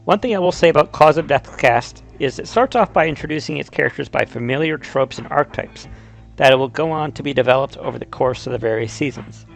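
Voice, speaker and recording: Casual male video essayist with slight creak. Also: medium pitch, quick pace, soft background music, low-quality recording. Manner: casual, conversational asides mixed with storytelling. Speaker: YouTuber, video game explainer. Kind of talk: video essay narration, semi-scripted retelling and commentary.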